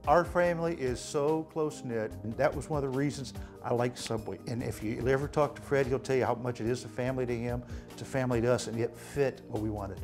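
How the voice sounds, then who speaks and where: A man's voice: medium pitch, fairly even intonation, brisk, over music. A middle-aged man speaking as a franchise owner in an interview testimonial.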